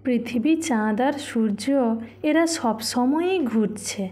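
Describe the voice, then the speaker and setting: High, slow storytelling grandma, voiced by a woman. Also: lively intonation, dark tone. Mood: explaining. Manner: patient, explanatory. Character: kindly storytelling grandmother, patient and instructive. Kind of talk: children's cartoon story narration.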